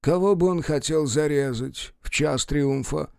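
Man doing a haughty, put-upon theatre servant, drawn-out. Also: fairly high pitch, creaky, a little mellow, smooth, no room sound, studio quality. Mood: sardonic. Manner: haughty, mocking.

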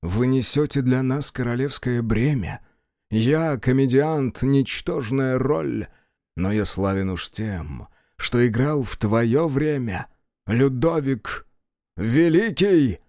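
Man acting out theatrical playwright-actor, grand declamation, sweeping intonation, slow and drawn-out, dry sound. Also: medium pitch, animated, a little mellow, dry recording. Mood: exultant. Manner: grandly declaiming, slight stammer at first.

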